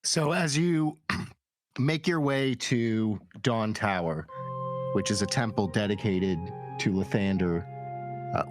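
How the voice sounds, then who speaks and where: A man working as game master, describing a fantasy setting in a measured, semi-improvised way. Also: fairly low pitch. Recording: music playing behind the voice. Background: music.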